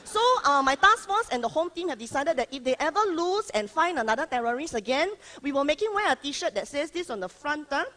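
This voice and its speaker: A woman's voice: sits high, animated, a little fast, few pauses, sharp tone. A woman playing a stiff, literal-minded government spokesperson with a Singaporean accent, over-explaining and drawing out her sentence-final particles. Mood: officious. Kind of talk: satirical comedy sketch.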